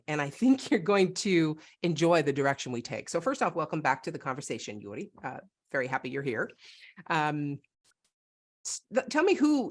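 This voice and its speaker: A woman from Northern California hosting a podcast from her home, chatting with enthusiasm. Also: very deep voice. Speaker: podcast host. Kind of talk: casual interview chat.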